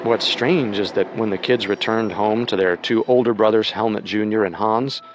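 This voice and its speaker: A man narrating a scripted true-crime story with measured, dramatic storytelling. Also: lowish voice, noisy background.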